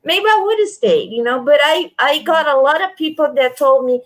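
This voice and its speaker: A woman's voice: high-pitched, animated, moderate pace. A woman with an accent she says comes from a stroke, chatting on a livestream in an emphatic way, repeating herself and restarting her sentences. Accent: non-native, from a stroke. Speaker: livestream guest. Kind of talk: casual chat.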